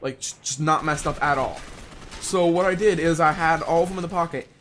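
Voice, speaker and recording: A young man telling a casual, unscripted story in a rambling, halting way, often rephrasing himself as he goes. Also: a little high, fairly bright tone, a little hiss or hum. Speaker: online video storyteller.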